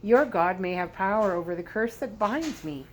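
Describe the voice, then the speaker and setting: A woman's voice: mid-range voice, expressive, moderate pace, slight background noise, close to an everyday voice. Woman playing a fervent old sorcerer man. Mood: fearful, hopeful. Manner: earnest, pleading, dramatic. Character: frightened old Chaldean sorcerer, desperate, pleading, emotional man.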